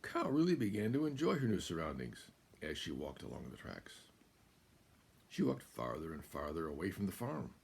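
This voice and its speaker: An older American man reading a children's picture book aloud, deliberate and expressive, with pauses. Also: low voice, gravelly, slightly rough. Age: older, about 70s. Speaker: guest storyteller. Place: small room at home, indoors.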